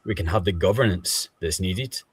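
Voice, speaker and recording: A man with a Scottish accent, speaking as a guest on an online panel discussion in a measured, thoughtful way with frequent pauses. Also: low voice, slightly rough, no room sound. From Scotland.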